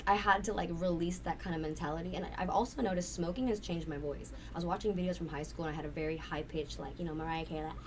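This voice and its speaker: A woman with a raspy voice, chatting candidly and at length as a guest, swearing casually. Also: on the low side, fairly even intonation, quick pace, few pauses. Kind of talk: casual interview chat. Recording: distant mic with faint noise.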